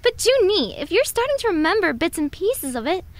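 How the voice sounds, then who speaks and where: A woman playing an earnest, caring younger sister, a student, in an audio drama, with an expressive and insistent delivery. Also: very high voice, a little fast, no echo. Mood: excited.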